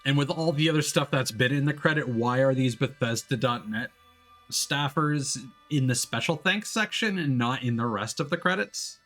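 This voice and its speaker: A man's voice: medium pitch, normal speed, fairly bright tone. Wry male streamer over background music. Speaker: video game live streamer. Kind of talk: casual live stream commentary, chatting to viewers.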